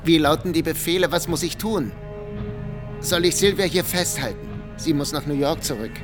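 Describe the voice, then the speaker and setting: A man's voice: on the high side, normal speed, music in the background, natural voice. A man playing an intense young secret agent in a radio drama, speaking in a clipped, tense manner. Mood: impatient.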